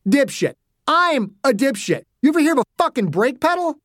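A narrator voicing an angry, protective father with a hot temper, shouting and cursing in an audiobook story. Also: high-pitched, very animated, moderate pace, no room sound, clean studio sound, voice pushed up. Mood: enraged.

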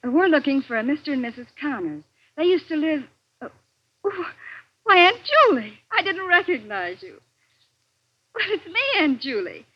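An actress playing a worried, stubborn young married niece in 1940s radio suspense, earnest and dramatic. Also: female voice, sits high, big pitch swings, moderate pace, no room sound. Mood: eager, concerned. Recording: muffled, noisy old radio recording.

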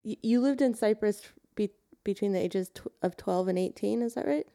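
A woman's voice: medium pitch, normal speed, dark tone. A woman co-hosting an interview, asking tentative questions that trail off. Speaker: interview co-host. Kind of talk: casual interview chat.

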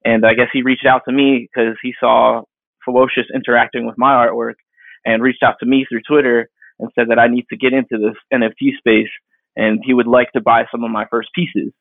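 A man in his thirties, a digital artist, answering interview questions in a rambling, halting way full of fillers and restarts. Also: mid-range voice, flat delivery, vocal fry, no room sound. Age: 30s. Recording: dry tinny phone-like line.

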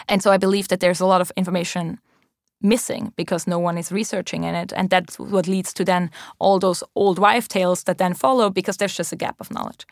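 A young woman in her twenties speaks with a German accent, in a slightly low voice. She answers interview questions earnestly and thoughtfully, pausing on ums and restarting her sentences. The sound is dry and close. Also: gravelly, dry recording. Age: 20s. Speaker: company founder.

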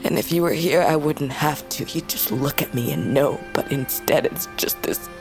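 An actress playing a grieving, fierce but lonely young princess of a wolf tribe in a fantasy audio drama, speaking in a solemn, intimate, halting way. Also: female voice, deep voice, big pitch swings, gravelly, heavily acted. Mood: anguished, weary. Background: music.

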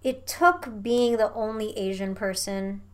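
A woman's voice: medium pitch, a little slow. Chatty young woman from Indonesia. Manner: animated, fillers like "like" and "you know what I mean", swears casually. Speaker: guest. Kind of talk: casual chat.

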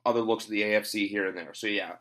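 A man's voice: on the low side, steady tone, fast talker, a bit bright. A man hosting a casual sports podcast, talking with a cold and rambling through lots of fillers. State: has a cold. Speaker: podcast host. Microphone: home recording, guest on internet call.

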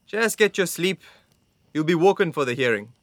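A man's voice: a little high, lively intonation, normal speed, dry recording, lightly acted. A man playing a stern, scornful young camp guard in an audio drama, with a formal, curt, intense manner.

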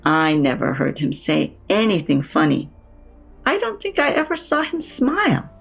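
A woman's voice: low-pitched, wildly swooping pitch, normal speed, vocal fry, soft background music, exaggerated voice. A woman playing a blunt, sardonic older mother in an audio drama, with a frank, dry way of talking. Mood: wry, frank. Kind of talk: naturalistic audio drama acting.

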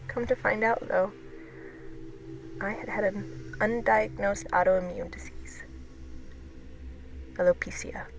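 An actress playing a shaken woman telling a harrowing personal story as a dramatic, halting monologue. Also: mid-range voice, steady tone, creaky. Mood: wry, rueful. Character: shaken woman recounting losing all her hair at a hotel. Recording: noisy recording with ambient sound.

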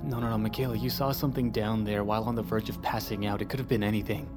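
A man's voice: on the low side, little pitch movement, fast, over music, close to an everyday voice. An actor playing an uneasy, sceptical crewman in an audio drama, urgent and repeating his words. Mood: doubtful, urgent.

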